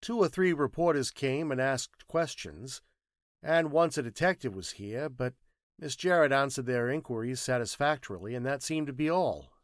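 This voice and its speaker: Man playing troubled fugitive, lively intonation. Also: medium pitch, normal speed, no room sound, studio quality, pitched above the performer's own voice, close to an everyday voice. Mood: distressed, confessing.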